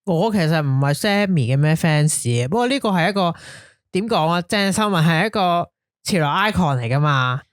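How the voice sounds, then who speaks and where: Hong Kong Cantonese woman co-host, low and expressive, frequent interjections, dry sound. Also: normal speed, dry recording. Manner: interjecting, laughs often. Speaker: podcast co-host. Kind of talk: casual chat.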